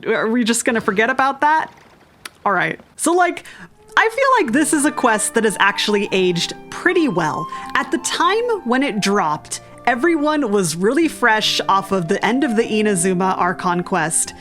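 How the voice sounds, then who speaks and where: A woman's voice: a little high, a bit bright. A woman hosting a video game commentary, speaking casually and informally with an irreverent, jokey manner. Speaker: video game streamer, host. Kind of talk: casual game commentary, reading along and reacting. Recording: voice over game music. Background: game music.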